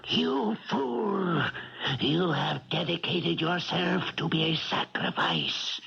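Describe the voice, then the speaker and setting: An actor playing an ominous otherworldly voice of divine vengeance, declaiming in a drawn-out way in a 1970s radio drama. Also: male voice, fairly high pitch, sing-song, gravelly, sharp tone, husky, exaggerated voice. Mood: dire warning. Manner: slow, drawn-out, declamatory doom pronouncements. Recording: dull vintage radio recording.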